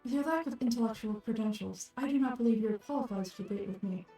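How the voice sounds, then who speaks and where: A woman puts on a stern academic official from a fantasy game. The delivery is formal and pompous, full of contempt for lesser people. The tone stays mellow, with music underneath. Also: fairly high pitch, quick pace, close to an everyday voice. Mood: contemptuous. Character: stern, haughty official scholar, contemptuous and threatening.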